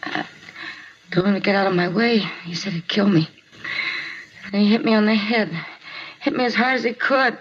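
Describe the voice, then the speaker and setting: An actress playing a dazed, pained mugging victim in a 1950s radio drama, answering haltingly and repeating herself. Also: female voice, mid-range voice, fairly even intonation, breathy edge, natural voice. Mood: weak, upset. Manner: halting, repetitive, confused short answers. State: in pain, weak. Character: dazed, hurting woman mugging victim, pleading. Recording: muffled, noisy 1950s radio recording.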